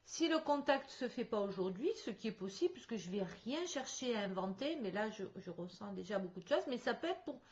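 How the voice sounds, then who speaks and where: Woman, medium, video call, dull audio, stop-start speech, laughs often. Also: medium pitch. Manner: lively, laughs often, halting restarts. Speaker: medium in a spirit contact session. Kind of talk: video call session, describing sensations.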